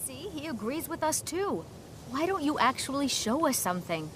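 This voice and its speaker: A woman's voice: sits high, very animated, moderate pace, fairly bright tone, slight background noise. A woman playing a confident, impatient young mage apprentice in a video game, insistent and challenging. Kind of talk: video game character dialogue.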